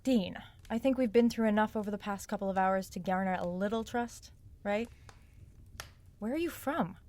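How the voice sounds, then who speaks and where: A woman's voice: medium pitch, normal speed, a little mellow, close to an everyday voice. A woman playing a gutsy, sardonic young northern woman on the run in an audio drama, with a blunt, wry delivery. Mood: needling, quieter. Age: young adult.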